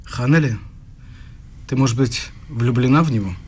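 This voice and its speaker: A man's voice: medium pitch, moderate pace, breathy edge, natural voice. An actor playing an affectionate, easygoing young male student in a radio play, talking in a teasing, coaxing way. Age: young adult.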